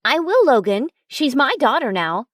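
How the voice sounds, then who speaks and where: A woman's voice: high-pitched, sing-song, normal speed, no room sound, clean studio sound, pitched above the performer's own voice. A woman voicing a caring but firm werewolf mother in a story.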